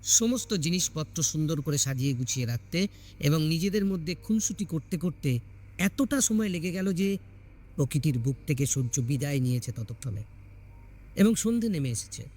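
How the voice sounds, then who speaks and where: A man's voice: on the high side, lively intonation, moderate pace, darkish tone. A man reading a scripted horror story as a dramatic narrator.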